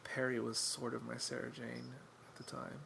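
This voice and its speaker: A man's voice: medium pitch, monotone, normal speed, vocal fry, bright, forceful tone, slight background noise. A man chatting casually as a podcast guest, wry and self-deprecating, rambling with lots of fillers. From England.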